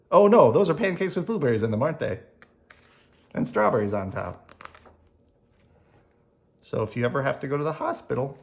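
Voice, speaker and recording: A storyteller reading a children's picture book aloud in a gentle bedtime manner, adding spoken asides along the way. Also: medium pitch, gravelly. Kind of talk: expressive read-aloud with ad-libbed asides.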